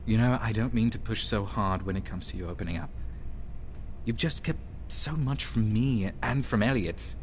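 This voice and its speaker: An actor playing a loyal, caring male friend in an audio drama, gently teasing and sincere. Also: fairly low pitch, normal speed, lightly acted. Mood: sincere, apologetic. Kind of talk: naturalistic audio drama acting. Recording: background ambience and faint noise.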